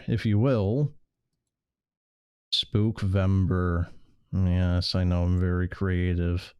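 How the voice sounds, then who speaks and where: A man's voice: low voice, a little mellow, smooth, no echo, clean studio sound. A man doing casual game stream commentary in a rambling, sardonic, sweary way. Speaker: livestreamer.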